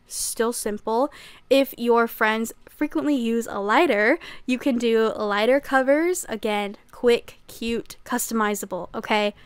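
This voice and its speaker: A young woman in her twenties chatting casually about crafts as a channel host, upbeat and chatty, a little hoarse from losing her voice. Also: on the high side, no echo. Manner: chatty, upbeat, often ends lines with "okay?". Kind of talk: casual vlog chat.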